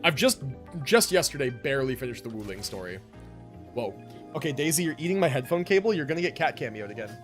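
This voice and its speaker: A man's voice: mid-range voice, big pitch swings, fast talker, a bit bright, faint music behind. A man casually commentating a live game stream, chatting with viewers in rambling, informal gamer slang.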